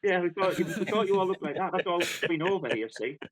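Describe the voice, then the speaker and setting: A man's voice: high-pitched, expressive, fast, over a noisy crowd. A man co-hosting a podcast, joking around and jumping in to interrupt. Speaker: podcast co-host. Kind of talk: casual chat.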